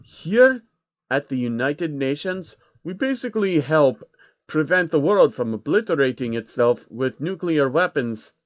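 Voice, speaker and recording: A man's voice: on the high side, big pitch swings, a little slow, dry recording, dull audio. A man doing a blunt suited official. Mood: matter-of-fact.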